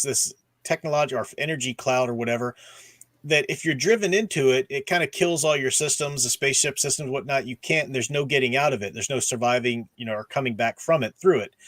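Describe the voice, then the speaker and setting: A man hosts a casual livestream discussion in a medium-pitched voice. The sound is dry and close. He explains in a conversational way, working through his points and often adding "you know". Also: fairly bright tone, no room sound. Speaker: host of a book discussion stream. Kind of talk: casual livestream discussion of comics and radio dramas.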